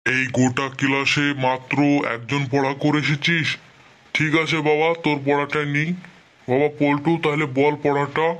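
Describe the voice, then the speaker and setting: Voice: medium pitch, level tone, normal speed, fairly bright tone, lightly acted. A performer voicing a stern, sarcastic male schoolteacher in a cartoon comedy, scolding his pupils.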